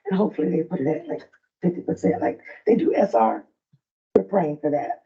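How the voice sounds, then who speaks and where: A woman with a soft, raspy voice presenting updates at a provider meeting in a friendly, loose way. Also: on the low side, huge pitch swings, brisk, muffled recording. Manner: friendly, loose, with frequent fillers. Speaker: early learning coalition staff presenter.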